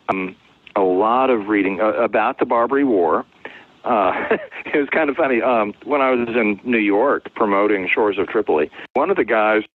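A man's voice: on the low side, dry recording. A man being interviewed as a novelist, talking conversationally and explaining things through anecdotes. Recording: phone-like, narrow-band, dry sound.

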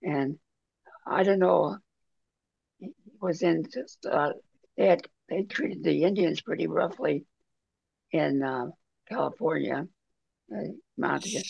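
A man talking casually in a book discussion on a video call, halting and rambling as he goes. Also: a little high, vocal fry, darkish tone, breathy edge, dry recording. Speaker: book club member. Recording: dull lo-fi video call audio.

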